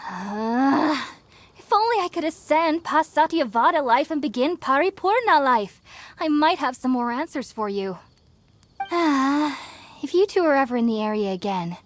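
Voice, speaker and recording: A woman playing an earnest, gentle young researcher in a video game, explaining things in a thoughtful, articulate way. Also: sits high, animated, measured pace. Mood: apologetic, friendly. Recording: music behind the voice.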